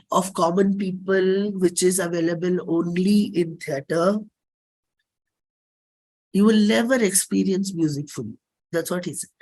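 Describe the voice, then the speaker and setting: A woman with an Indian accent performs a dramatic reading of a personal stage script, speaking expressively in short, deliberate phrases with pauses. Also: on the low side, low-quality recording. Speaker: classical singer and stage performer.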